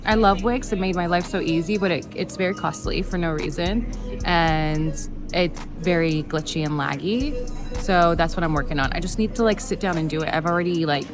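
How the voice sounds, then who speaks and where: A woman's voice: on the low side, normal speed. A young woman whose first language is Arabic, chatting enthusiastically in a casual, rambling vlog. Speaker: vlogger. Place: airport before a flight. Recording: background music clearly heard.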